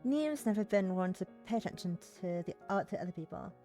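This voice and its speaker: A woman's voice: medium pitch, expressive, a little fast, mellow, faint music behind. A woman livestreaming a game, reading its story text aloud with casual comments and occasional stumbles over words.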